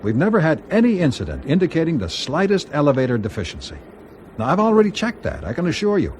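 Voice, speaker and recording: Proud, probing executive, lively delivery. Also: male voice, on the high side, fast, a little hiss or hum. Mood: insistent. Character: proud, probing aircraft company executive, formal and persistent. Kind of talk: BBC radio drama acting.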